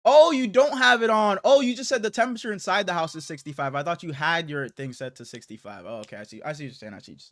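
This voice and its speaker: A man's voice: on the high side, quick pace, few pauses, a bit bright. A man hosting a casual sports podcast, slightly congested, talking in loose, profane slang. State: slightly congested, under the weather.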